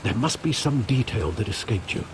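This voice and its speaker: An actor playing an elderly, loyal butler in a video game, speaking in a measured, formal, gently questioning manner. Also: male voice, medium pitch, animated, noisy background, close to an everyday voice. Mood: gentle, probing. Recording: loud music behind the voice.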